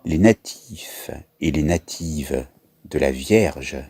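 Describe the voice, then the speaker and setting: Man, very low voice, husky, slow pun jokes. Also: expressive, dark tone. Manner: slow, punning delivery with laughs at his own jokes. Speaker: comedian telling pun jokes. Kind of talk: improvised wordplay monologue.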